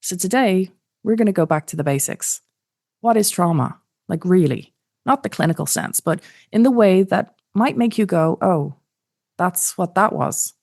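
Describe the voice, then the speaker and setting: A woman with a fairly low voice hosts a podcast. She presents and asks questions in measured phrases, leaning on key words. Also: animated, a little mellow. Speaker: podcast host. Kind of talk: scripted introduction and interview.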